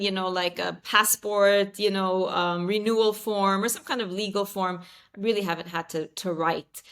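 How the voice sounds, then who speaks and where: A woman's voice: medium pitch, a bit bright. A woman in her thirties, raised in Saudi Arabia with Arabic as her first language, talking casually as a podcast guest, with many fillers, restarts and easy laughs. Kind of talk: casual interview chat.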